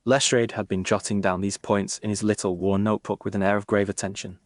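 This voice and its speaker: A man narrating a scripted period mystery in a formal, literary, measured style. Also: lowish voice, level tone, no room sound.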